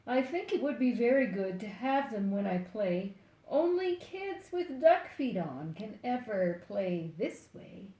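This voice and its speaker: An older woman reading a children's book aloud expressively and deliberately, playfully speaking straight to young listeners. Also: fairly high pitch, sing-song, dark tone, reverberant, rough recording. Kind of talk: expressive picture-book read-aloud with asides.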